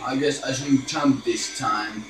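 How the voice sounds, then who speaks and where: A man's voice: mid-range voice, fairly even intonation, measured pace. A man giving casual live gameplay commentary, exclaiming and swearing in frustration as he plays. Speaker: gaming video host. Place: indoor room in front of a television. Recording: roomy, distant mic, game sound behind. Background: faint game music from television.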